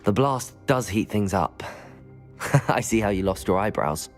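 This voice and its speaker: An actor playing a composed, curious teenage student wizard, speaking in a measured, formal way for a video game. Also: male voice, lowish voice, faint music behind, natural voice. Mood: amused. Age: young adult. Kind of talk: video game cutscene acting.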